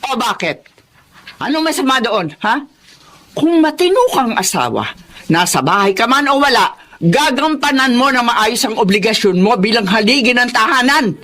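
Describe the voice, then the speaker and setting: A woman's voice: on the high side, sing-song, normal speed, fairly bright tone. An actress playing a stern, scolding older mother who lectures her son in a radio drama.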